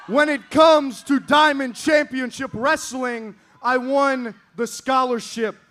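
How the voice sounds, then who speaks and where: A teenage man cutting an arrogant wrestling promo in an indoor arena, boasting and yelling at the crowd. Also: very high-pitched. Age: teens.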